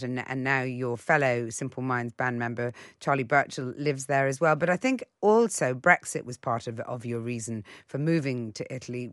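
A woman's voice: extremely low pitch, fairly bright tone, no echo, studio quality. A woman hosting an interview, asking conversational questions with small hesitations. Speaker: interviewer host. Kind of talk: radio interview.

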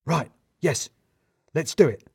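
A man's voice: a little high, lively intonation, takes it slowly, husky, no room sound, studio quality, pitched above the performer's own voice, exaggerated voice. A man voicing a jittery, self-important male office manager who hisses and talks melodramatically. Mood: startled. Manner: melodramatic, hissing, manic.

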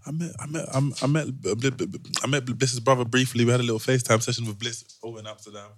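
A man's voice: mid-range voice, fairly even intonation, fast talker, dry recording, studio quality. A young man with a London accent hosting a podcast with casual, slangy banter, often repeating his words. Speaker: podcast host.

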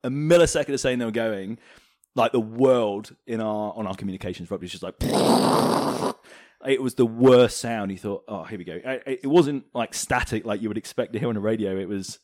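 A man from Hong Kong chatting casually as an interview guest, telling anecdotes in an animated way. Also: fairly low pitch, normal speed, dry recording, clean studio sound. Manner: animated, chuckles as he tells anecdotes. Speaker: interview guest, former fighter pilot. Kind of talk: casual storytelling in a podcast interview.

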